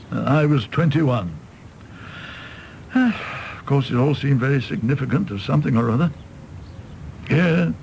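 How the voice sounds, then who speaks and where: A man acting a tipsy, bitter, apologetic middle-aged British doctor in a radio drama, rambling and halting in a gravelly voice. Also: a little high, a little mellow, slight background noise. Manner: rambling, halting, swinging from ranting to meek.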